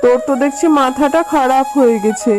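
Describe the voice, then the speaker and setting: A selfish, scheming tigress aunt from a children's cartoon, acted by a woman. The voice is high, mocking and brusque, quick to scold and dismiss. Music is clearly heard behind it. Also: steady tone, lightly acted. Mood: mocking, dismissive.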